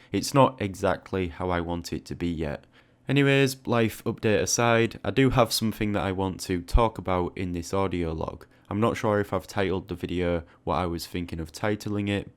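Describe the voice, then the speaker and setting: A man's voice: sits low, smooth. A man hosting a casual, reflective audio log, speaking informally with small stammers on the starts of words. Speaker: art video creator and host.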